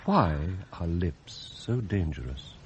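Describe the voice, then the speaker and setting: An actor playing an immaculate, deferential English butler in a radio play, formal and ornate in his speech, with a quietly plotting air. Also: low voice, sing-song, slight background noise, exaggerated voice. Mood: wry, musing. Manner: formal, measured, ornate wording. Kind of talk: radio play acting and narration.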